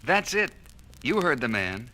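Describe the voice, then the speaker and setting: An actor playing a curt, threatening kidnapper in an audio drama, giving orders in a clipped, commanding way. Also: male voice, mid-range voice, sing-song, measured pace, pushed and bright, a little hiss or hum, low-quality recording. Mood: cold, threatening. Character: curt, threatening male kidnapper on a ransom call. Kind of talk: 1970s children's record audio drama acting.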